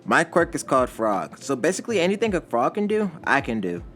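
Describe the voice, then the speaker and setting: A man voicing a calm teenage girl hero in a story reading, frank and friendly in a matter-of-fact way. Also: a little high, brisk, pitched above the performer's own voice. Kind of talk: fan fiction story reading.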